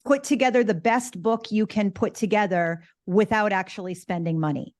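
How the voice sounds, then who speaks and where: A woman's voice: medium pitch, normal speed, a little mellow, dry recording, studio quality. A woman hosting a casual panel talk, moderating and giving advice. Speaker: host.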